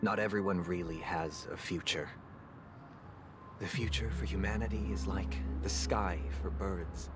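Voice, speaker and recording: Grave, low-voiced stern brother. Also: male voice, steady tone. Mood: grave, somber. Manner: measured, grave, formal. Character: stern, composed, burdened elder brother, young man. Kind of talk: video game voice acting. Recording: loud music behind the voice. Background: music.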